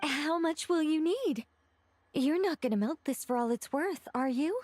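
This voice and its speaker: Measured, eloquent ancient angel, dry recording. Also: female voice, high voice, lively intonation, darkish tone, no echo. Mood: wry, frank. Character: ingenious, wistful ancient female angel, wry and eloquent. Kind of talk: video game voice acting.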